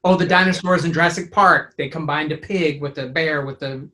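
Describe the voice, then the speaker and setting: A man's voice: on the high side, fairly quick, pushed and bright. A man talking casually in an interview, rambling and thinking aloud with enthusiasm. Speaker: sound designer and composer; teacher.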